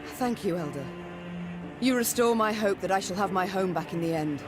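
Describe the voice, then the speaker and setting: A woman's voice: mid-range voice, lively intonation, moderate pace, over music, natural voice. A woman voicing a proud, defiant middle-aged warrior queen in a fantasy video game, speaking formally and regally. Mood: resolute. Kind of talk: dramatic video game voice acting.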